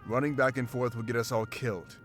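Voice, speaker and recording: A man's voice: mid-range voice, little pitch movement, brisk, background noise, lightly acted. A man playing a guarded, pragmatic rebel companion in a fantasy video game. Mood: impatient.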